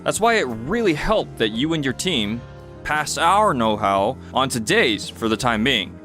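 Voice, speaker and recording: Man acting mild, expressive young entrepreneur. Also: medium pitch, unhurried, faint music behind. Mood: upbeat, earnest. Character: mild, reasonable young male company founder. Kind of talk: dubbed comic drama.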